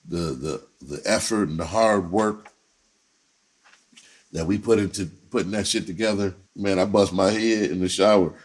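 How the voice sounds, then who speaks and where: A man's voice: low-pitched, normal speed, husky. A man speaking in a casual storytelling monologue, conversational and profane, with streetwise slang. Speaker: entertainer telling personal stories on own channel.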